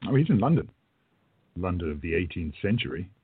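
A man playing a courteous, earnest gentleman shopkeeper in a radio play, gentle and measured in manner. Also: low-pitched, very animated, breathy edge, dry recording, heavily acted. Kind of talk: hobby radio play acting. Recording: dull lo-fi audio.

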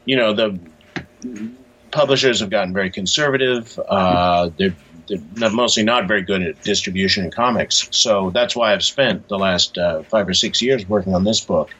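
A man answering casually as an interview guest, hesitant, low-key and matter-of-fact. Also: moderate pace, a bit bright, muffled recording. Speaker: interview guest, comics creator.

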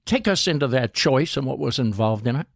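A man hosting a radio sports program, asking measured, easygoing questions. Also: medium pitch, animated, vocal fry, no echo, clean studio sound. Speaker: radio sports show host.

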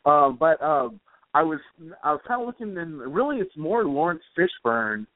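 A man giving casual, rambling interview answers as a guest author, speaking in a hesitant, halting way. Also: a little high, big pitch swings. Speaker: guest, comic book author. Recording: roomy, phone-like internet radio call.

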